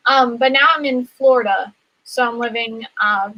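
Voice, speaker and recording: A woman's voice: on the high side, normal speed, highs cut off. A woman from the American Midwest with an American accent, chatting casually in a friendly, slightly hesitant way. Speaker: guest in a group chat. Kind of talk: casual chat.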